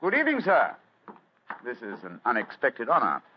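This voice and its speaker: A theatrical, urbane detective. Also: male voice, mid-range voice, wildly swooping pitch, normal speed, a little hiss or hum. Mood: courteous. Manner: theatrical, precise, courtly. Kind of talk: old-time radio drama acting. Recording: dull vintage radio drama recording.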